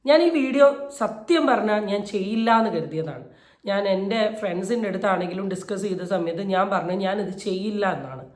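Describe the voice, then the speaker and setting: A woman delivers opinion commentary in an indignant, emphatic way. She repeats phrases for stress, her mid-pitched voice ringing in a roomy, echoey space. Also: a little fast. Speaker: YouTube commentator. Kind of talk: opinion commentary on a viral video.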